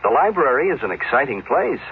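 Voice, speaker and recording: A man voicing a talking library book, a breathy, enthusiastic voice of adventure in a radio spot. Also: mid-range voice, sing-song, a little fast, heavily acted. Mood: mysterious, inviting. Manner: coaxing, enthusiastic. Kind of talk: 1970s radio public service spot. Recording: tinny phone-like old radio recording.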